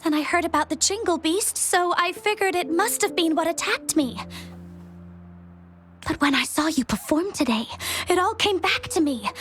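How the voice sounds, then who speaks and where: A woman's voice: very high voice, lively intonation, moderate pace, a bit bright. A woman voicing an earnest, openly emotional young female explorer in a video game dub, with music behind her. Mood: reflective, grateful.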